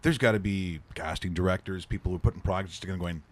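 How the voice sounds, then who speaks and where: A man's voice: low voice, fast, no room sound. Chatty male talk show host. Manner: chatty, stumbling restarts. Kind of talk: casual interview chat.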